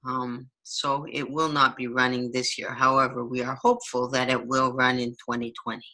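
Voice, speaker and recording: Bright-voiced woman explaining carefully. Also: a bass voice, little pitch movement, moderate pace, poor audio. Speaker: ESL teacher. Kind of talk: interview answers.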